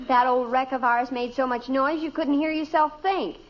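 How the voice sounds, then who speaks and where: An actress playing an exuberant, dramatic teenage daughter, emphatic and slangy, in old radio sitcom style. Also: female voice, high voice, steady tone, normal speed, natural voice. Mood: indignant, dramatic. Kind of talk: 1940s-50s radio sitcom acting. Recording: muffled old radio recording.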